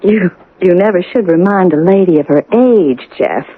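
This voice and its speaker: A woman's voice: fairly low pitch, animated, moderate pace, narrow, tinny audio. An actress playing a proud, guarded small-town woman in a radio drama, giving dry, pointed retorts. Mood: wary, dry. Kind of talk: 1980 radio drama acting. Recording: band-limited old radio recording.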